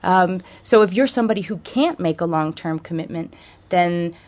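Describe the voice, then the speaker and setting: Low-voiced American woman on radio. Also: normal speed, darkish tone, lo-fi sound. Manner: thoughtful, many fillers and restarts. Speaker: guest from a charity. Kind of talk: radio interview.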